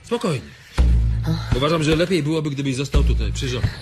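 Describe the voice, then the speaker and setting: An actor playing a calm, authoritative ranch foreman in a radio drama, measured and firm. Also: on the high side, music in the background, lightly acted. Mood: grave, firm.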